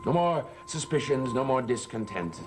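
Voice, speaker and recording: A man's voice: medium pitch, lively intonation, moderate pace, over music, natural voice. An actor playing an overbearing stepfather who talks in a coaxing, insinuating way, from an old television soap opera. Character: smooth, overbearing stepfather of the house. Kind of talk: 1970s soap opera acting.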